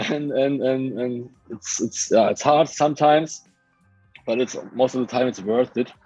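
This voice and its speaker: Halting Hungarian-accented man, mellow tone. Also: medium pitch, husky, dry recording, muffled recording, faint music behind. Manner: halting, searching for words, many restarts. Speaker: guest muralist. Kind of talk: casual interview chat. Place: home, remote video call.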